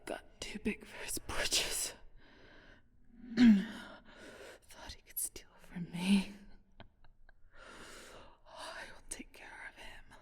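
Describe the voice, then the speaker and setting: A woman's voice: medium pitch, a little mellow, exaggerated voice. Woman acting a wounded, whispering vampire seductress. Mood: scornful, vengeful. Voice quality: breathy, husky whisper. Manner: slow, teasing, haughty, with theatrical pauses. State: weakened, wounded, laboured breathing. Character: ancient flirtatious female vampire thief, haughty and vengeful. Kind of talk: whispered ASMR audio roleplay.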